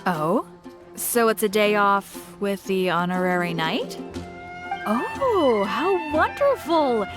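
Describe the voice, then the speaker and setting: A woman's voice: medium pitch, very animated, slow pace, music in the background. A woman voicing a friendly, chatty shopkeeper in an anime video game, with a knowing, teasing manner.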